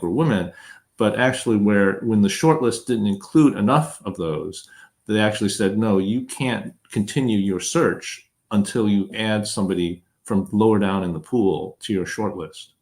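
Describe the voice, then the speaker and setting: A man speaks as a podcast guest in a somewhat low voice, thinking aloud as he goes. He talks hesitantly, filling his pauses with "you know" and "right" before he lands his points. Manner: hesitant, filler-heavy, thoughtful. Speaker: interview guest, political candidate. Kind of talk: conversational interview.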